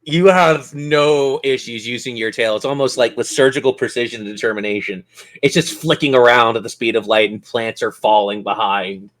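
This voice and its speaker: A man's voice: medium pitch, normal speed, a bit bright. A man narrating a tabletop role-playing game as game master, with theatrical, descriptive storytelling.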